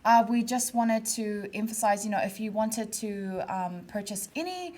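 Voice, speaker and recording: Relaxed New woman presenting. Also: mid-range voice, normal speed. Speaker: stand-in show presenter. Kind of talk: casual studio chat show.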